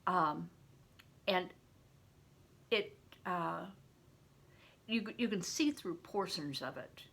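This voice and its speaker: Older woman reading aloud, slightly husky, bright. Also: on the low side, extremely slow. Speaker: storybook reader, grandmother. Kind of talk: read-aloud audiobook narration with plain asides.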